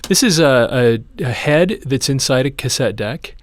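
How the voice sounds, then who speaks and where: A man's voice: medium pitch, animated, measured pace. A man presenting a hands-on repair tutorial, explaining each step in an informal, plain-spoken way. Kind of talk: casual hands-on how-to demonstration.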